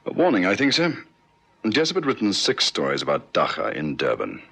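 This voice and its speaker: A frustrated, dogged detective sergeant with a low, rough-edged voice. He reports to his superior in clipped, deferential phrases, in the earnest manner of a 1960s radio police drama. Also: huge pitch swings, a little fast, fairly bright tone, full character voice. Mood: earnest, explanatory.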